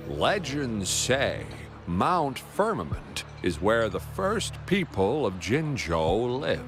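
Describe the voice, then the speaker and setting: A man voicing a friendly, rambling local in a video game cutscene. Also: mid-range voice. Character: chatty easygoing local man. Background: game music.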